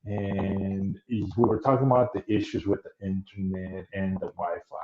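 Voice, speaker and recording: A man's voice: low-pitched, fairly even intonation. A man hosting an interview podcast. He often restarts a thought and asks several questions in a row. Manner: talks slowly, stacks up questions with restarts. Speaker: podcast host. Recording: roomy, muffled audio with faint noise.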